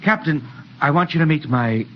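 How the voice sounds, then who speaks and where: A man's voice: a little high, moderate pace, lightly acted. A man with an American accent playing an earnest, deferential lieutenant in a 1950s radio drama. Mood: eager. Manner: earnest, pleading. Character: eager, deferential young male lieutenant. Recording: muffled vintage radio broadcast, faint noise.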